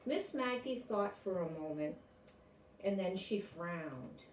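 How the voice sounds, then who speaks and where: Slow-reading older woman in an echoey room. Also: lowish voice, animated. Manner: unhurried, explains the pictures in simple words. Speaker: storyteller reading a children's picture book aloud. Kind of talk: expressive read-aloud narration for children. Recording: roomy, distant mic with faint noise.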